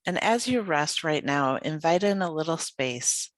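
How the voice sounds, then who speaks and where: A meditation guide leading a yoga nidra practice in a soothing, unhurried, gently inviting way with pauses. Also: medium pitch, expressive, dry recording.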